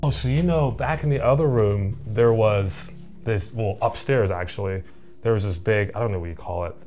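A man's voice: lowish voice, normal speed, darkish tone, slight background noise. A man casually wondering aloud about what he finds while exploring an abandoned factory. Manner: laid-back, wondering aloud, rambling. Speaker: urban explorer host. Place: abandoned factory building, indoors.

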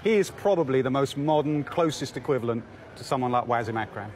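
Male commentator; fast, emphatic, slightly high; faint ambience. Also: expressive, darkish tone, slight background noise. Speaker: commentator, pundit. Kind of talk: studio punditry.

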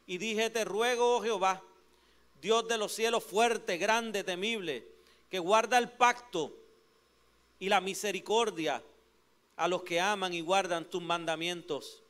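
A man's voice: high-pitched, steady tone, slow pace, fairly bright tone. A man in his thirties with a Puerto Rican accent, preaching a fervent sermon from a church pulpit into a handheld mic. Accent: Puerto Rican Spanish. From Puerto Rico. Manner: fervent preaching, frequent "gloria al Señor" refrains. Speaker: pastor.

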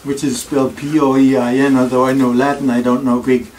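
An older man with a Danish accent gives a reflective talk in a wood-paneled library room. His tone is thoughtful and wry, with pauses and self-deprecating asides. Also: medium pitch, level tone, darkish tone. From Denmark. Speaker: speaker giving a library talk. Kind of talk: reflective public lecture. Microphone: far camera. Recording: distant room recording with faint noise.

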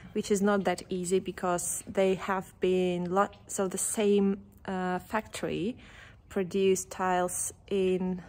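A young woman vlogging casually while walking down a quiet village street, chatty and often laughing, filmed on a phone held at arm's length. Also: mid-range voice, a little hiss or hum. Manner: chatty, breaks into frequent laughs. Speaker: renovation vlogger.

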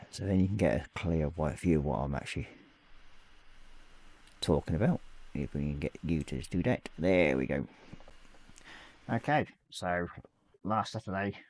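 Man, 40s, deep voice, slightly croaky, dry close sound, relaxed livestream chatter. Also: no room sound. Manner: reads chat messages aloud, relaxed and rambling. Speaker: livestream host. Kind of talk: casual live-stream chat while painting miniatures. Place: small hobby room at home.

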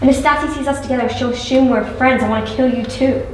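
A woman's voice: high-pitched, fairly even intonation, fast, natural voice. A young actress playing a worried teenage schoolgirl. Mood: anxious. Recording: echoey, distant, light noise.

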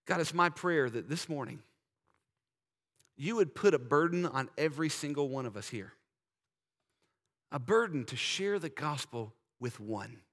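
A man in his thirties, a pastor, earnestly urging his congregation and then praying softly, recorded with a lapel mic in a large church sanctuary. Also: fairly high pitch, animated, measured pace, long pauses. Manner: earnest, urging, drops soft in prayer. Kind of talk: sermon invitation and prayer. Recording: church hall reverb.

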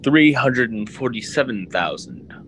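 A man's voice: medium pitch, lively intonation, a little slow, fairly bright tone, a little hiss or hum, poor audio. A man acting a hearty, assertive, business-minded factory consul in a radio drama. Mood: hearty, boastful.

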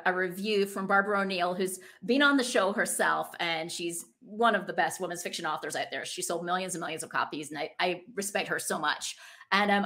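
A woman's voice: mid-range voice, fairly bright tone. A woman hosting a podcast over a video call in an enthusiastic, conversational manner. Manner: effusive, enthusiastic. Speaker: podcast host. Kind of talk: conversational interviewing. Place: indoor room, video call.

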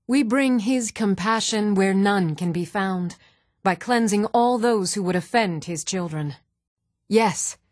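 An actress playing a steady, firm young woman in a fantasy video game, speaking with measured, earnest delivery. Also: on the low side, no room sound, studio quality. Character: even-toned young adult woman of a fantasy world, steady and stern.

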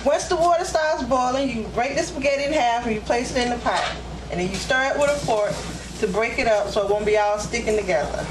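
Female home cook host, higher-pitched, practical how-to narration; roomy kitchen sound on a camcorder mic. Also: a little fast. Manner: plain step-by-step instructing. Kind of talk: hands-on cooking demonstration narration. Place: indoors, home kitchen at the stove. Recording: echoey kitchen, loud sizzle and stove noise.

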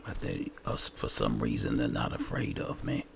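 A man's voice: extremely low pitch, huge pitch swings, normal speed, creaky, noisy background. A man doing casual first-person role-play commentary over a game, muttering in a hesitant, laid-back way. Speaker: gameplay host and role-player. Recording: game music under the voice.

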